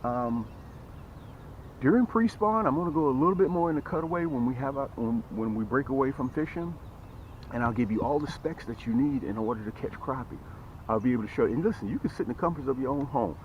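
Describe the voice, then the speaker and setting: A middle-aged man talks to camera from a small boat out on a lake, upbeat and chatty and fond of repeating himself. His voice sits at an ordinary pitch, and the outdoor recording sounds a little dull, with faint background noise. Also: fast talker. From Atlanta, Georgia area. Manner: energetic, repeats words, often adds "okay?" and "boom". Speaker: fishing channel host. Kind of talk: casual fishing vlog talk to camera. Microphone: camera close on a boat.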